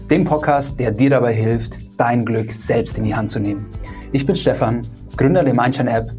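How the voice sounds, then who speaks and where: A man's voice: mid-range voice, darkish tone. A man hosting his podcast, asking guests friendly, upbeat questions. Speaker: podcast host and app founder. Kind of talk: podcast interviewing.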